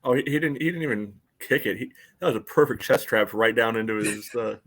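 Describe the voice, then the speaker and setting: A man co-hosting a soccer podcast, chatting casually in a dry, wry and somewhat halting way. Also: medium pitch, a bit bright. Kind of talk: casual sports chat.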